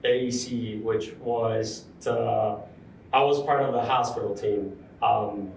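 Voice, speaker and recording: A young man, a construction superintendent, talking through his career in a relaxed interview with many pauses and fillers. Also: fairly low pitch, vocal fry, a little hiss or hum. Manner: thoughtful, frequent ums, restarts. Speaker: construction project superintendent, guest. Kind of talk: casual interview conversation. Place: large room at a university center. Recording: roomy, distant mic, faint ambience.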